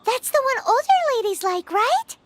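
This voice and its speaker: A woman's voice: very high voice, sing-song, normal speed, heavy background noise. A woman voicing a perky, cheeky, childlike little fairy companion in a video game. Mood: curious, cheerful. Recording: prominent music behind the voice.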